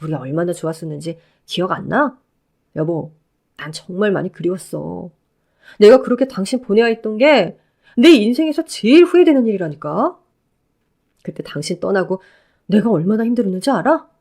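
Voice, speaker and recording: A woman's voice: mid-range voice, animated, normal speed, dark tone. A woman voicing a pleading, self-pitying middle-aged ex-husband who begs and whines. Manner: wheedling, whiny begging.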